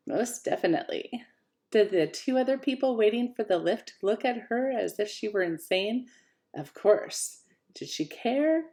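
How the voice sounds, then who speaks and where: A woman author reading her own fiction aloud in an expressive, lively way. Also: mid-range voice, vocal fry. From Canada. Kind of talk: audiobook-style fiction reading.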